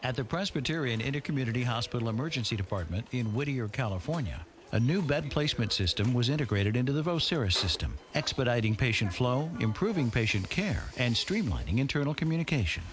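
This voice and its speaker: A man's voice: medium pitch, expressive, fast. A man reading scripted narration for a corporate video.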